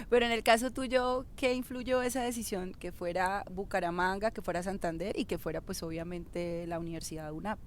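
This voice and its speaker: A woman hosting a podcast, asking questions in a friendly, conversational way. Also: mid-range voice. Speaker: podcast host. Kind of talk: interview questions.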